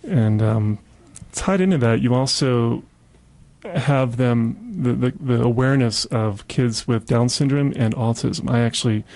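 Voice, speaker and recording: Man, radio host, mellow timbre, medium pitch, conversational interviewing. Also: measured pace.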